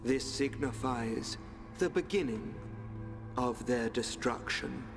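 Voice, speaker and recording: Solemn slow-spoken oracle, cavernous reverb. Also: male voice, medium pitch. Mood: solemn, calm. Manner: solemn, measured, oracular. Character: calm enigmatic male guide who speaks of fate. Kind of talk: scripted video game cutscene acting. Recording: long hall reverb, orchestral music behind.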